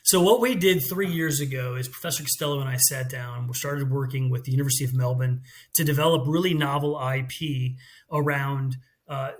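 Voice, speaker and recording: A middle-aged American man, a company CEO, explaining his work fluently in a business interview. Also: medium pitch. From United States. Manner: fluent, explanatory, with some restarts.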